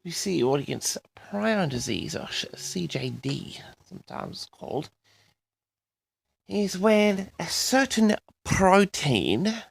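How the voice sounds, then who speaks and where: Male solo audio-log host. Very gravelly, swinging intonation, halting monologue addressed to listeners, dry close sound. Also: high voice, very animated. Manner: halting, pausing often, repeatedly addresses the audience. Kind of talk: rambling solo monologue to an audience.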